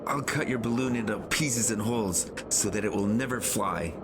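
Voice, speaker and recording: A man's voice: lowish voice, moderate pace, background noise, voice pushed up, lightly acted. A man voicing an angry, defiant young orchard dweller calling out threats in a raised, insistent voice for an audiobook.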